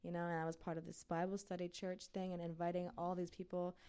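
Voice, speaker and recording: A woman's voice: fairly low pitch, flat delivery, fast, warm tone, clean tone. A woman from Uzbekistan, a missionary, talking earnestly and casually to a church group with many fillers. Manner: earnest, chatty, fillers like "you know".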